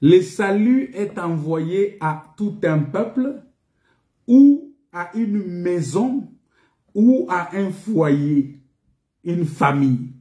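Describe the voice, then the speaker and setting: A man preaching a sermon in an emphatic, repetitive teaching manner, pausing on rhetorical questions. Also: high voice, lively intonation, warm tone. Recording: echoey, reverberant room.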